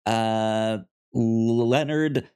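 A man hosting a casual review podcast, speaking in a halting way with stammered, repeated word starts. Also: mid-range voice, darkish tone, smooth. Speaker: podcast host.

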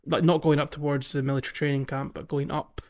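A man's voice: a little high, level tone, quick pace, darkish tone, dull audio. A man hosting a gaming podcast in casual conversation, explaining things in a hesitant, rambling way. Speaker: podcast host.

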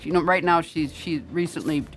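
A woman's voice: low-pitched, steady tone, normal speed. An older woman, a retired master gardener, chatting on the radio and giving advice with many restarts and emphatic asides. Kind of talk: casual radio chat.

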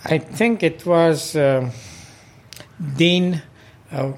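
A man's voice: fairly high pitch, extremely slow. An older man from Iran, a local club president, recalling his past in an oral history interview with many fillers and restarts.

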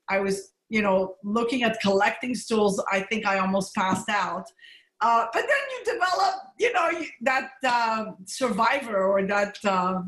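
A woman's voice: fairly high pitch, a bit bright, reverberant, rough recording. A middle-aged woman gastroenterologist who grew up in Montreal, talking candidly and with laughs in a podcast interview. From Montreal, Canada. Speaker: gastroenterologist guest.

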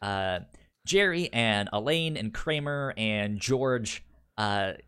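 A man's voice: on the low side, expressive, slow pace, a bit bright, smooth. Stuttering man in casual podcast chat. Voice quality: stutters, repeating first syllables of words. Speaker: podcast co-host.

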